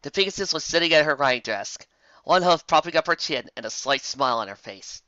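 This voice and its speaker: A story narrator with a mid-pitched, sharp and forceful voice. The delivery is dramatic scripted reading with small stumbles. It sounds close and dry, recorded at home with slightly dull fidelity. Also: animated, brisk, no echo. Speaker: fan fiction narrator.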